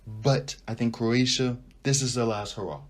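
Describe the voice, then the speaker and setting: A young man hosting a sports podcast, talking casually and rambling with animated asides as he thinks out loud. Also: medium pitch, normal speed. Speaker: podcast host. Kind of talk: casual sports talk monologue.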